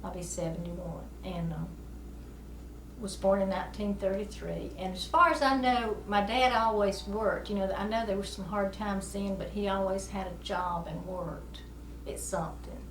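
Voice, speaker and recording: A woman's voice: medium pitch, fairly even intonation, moderate pace, noisy background. Folksy older woman, distant and echoey. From South Carolina, USA. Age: 70s. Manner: folksy, rambling, chuckles often. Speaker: interviewee, amateur musician. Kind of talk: oral history interview.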